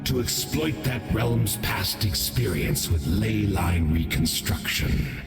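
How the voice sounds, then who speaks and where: A slow, echoing villain, a monstrous herald from a video game. He is menacing and grandiose, swinging between scorn and gloating in theatrical, measured phrases. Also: fairly low pitch, lively intonation, gravelly, music in the background, full character voice. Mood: gloating triumph. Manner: grandiose, measured, dramatic pauses.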